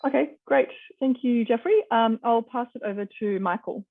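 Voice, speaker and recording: Woman, creaky voice, forum moderator, thin phone-like call audio. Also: a little high, normal speed. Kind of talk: moderating an online candidate forum. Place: online video call. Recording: muffled band-limited video call audio.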